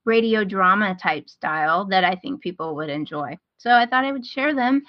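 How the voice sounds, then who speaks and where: A woman's voice: mid-range voice, expressive, moderate pace, no echo. Woman podcast host, slightly dull audio. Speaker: podcast host giving recommendations. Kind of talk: casual podcast chat.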